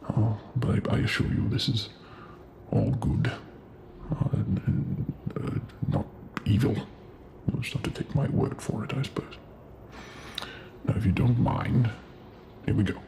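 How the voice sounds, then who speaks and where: Man playing slow, gravelly, gently awkward Death. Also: on the low side, background noise. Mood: hesitant, reassuring. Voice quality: breathy, half-whispered, gravelly. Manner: hesitant, soothing, repetitive, slightly formal. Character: gentle, awkward male Death soothing a hospital patient. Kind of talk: whispery ASMR roleplay.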